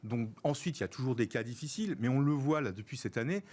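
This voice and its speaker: A middle-aged man with a French accent from France, giving structured, measured explanations as an interview guest and repeating words for emphasis. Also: mid-range voice, animated, a little mellow. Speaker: interview guest, senior professional.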